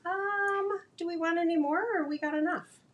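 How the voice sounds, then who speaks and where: A woman's voice: extremely high pitch, moderate pace, fairly bright tone. A woman hosting a card-making live stream, chatting playfully and thinking aloud while she works. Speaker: craft live stream host.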